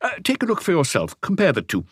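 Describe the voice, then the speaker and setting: Man putting on a high-pitched, eager male expert. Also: wildly swooping pitch, fast talker, breathy edge, no room sound, clean studio sound, heavily acted. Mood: eager, precise. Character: excitable, precise handwriting expert, eager adult man.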